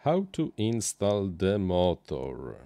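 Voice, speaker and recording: A man in his thirties with a nasal voice, talking in a halting, improvised way while building at a home workbench into a close dynamic mic. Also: low voice, big pitch swings, mellow, no echo. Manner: halting, many uhs and restarts, non-native phrasing. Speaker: RC hobby streamer building a model plane. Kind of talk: improvised live-stream chat while building. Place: small home workshop desk, indoors. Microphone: dynamic microphone on boom arm, headphones.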